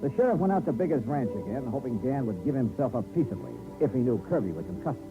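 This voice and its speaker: An actor playing a hardboiled, shrewd investigator who narrates and questions in a clipped, sardonic 1950s radio-drama style. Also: male voice, mid-range voice, sing-song, fast talker, lightly acted. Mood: brooding narration. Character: hardboiled, shrewd male insurance investigator narrating his case. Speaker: narrator and lead detective. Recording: tinny old radio recording with music.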